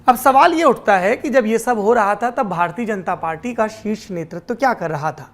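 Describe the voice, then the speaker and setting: A woman's voice: medium pitch, expressive, normal speed. A woman presenting explanatory political news commentary as a news presenter.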